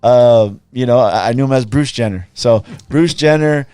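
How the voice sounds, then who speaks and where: A male podcast host chats casually and haltingly, repeating words as he works toward his question. His voice is smooth and clear. The recording is dry and close to the mic. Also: medium pitch, dark tone, no room sound. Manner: chatty, halting, full of fillers and repeats. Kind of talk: casual interview conversation.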